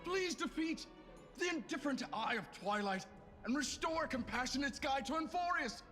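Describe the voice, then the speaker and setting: An actor playing a solemn, admiring citizen of a fantasy game world, speaking formally and declaiming. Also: male voice, very high voice, lively intonation, normal speed, sharp tone, heavily acted. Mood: pleading. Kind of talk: video game voice acting. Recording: long reverb, game music behind.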